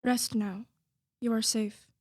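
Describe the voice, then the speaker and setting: A woman's voice: fairly high pitch, level tone, slow, a little mellow, no echo, clean studio sound. A woman playing a grave, concerned male sea captain in a radio play, with formal, earnest delivery. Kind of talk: theatrical radio play acting.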